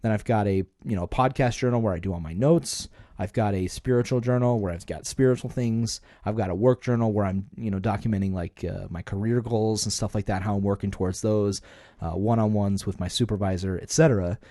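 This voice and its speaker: Male podcast co-host, low and relaxed, conversational explainer in casual chat. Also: fairly quick, no room sound, clean studio sound.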